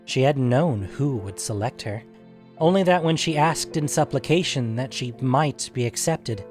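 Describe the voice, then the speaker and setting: A man narrating a scripted fantasy story in a measured, deliberate, dramatic way. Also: medium pitch, animated, a little mellow, soft background music. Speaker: narrator and host of an audio fiction show.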